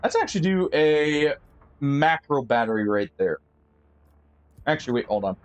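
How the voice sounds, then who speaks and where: Male game streamer, light higher voice, relaxed think-aloud commentary full of fillers. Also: moderate pace, faint music behind. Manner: friendly sign-off to viewers. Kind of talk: live gameplay commentary.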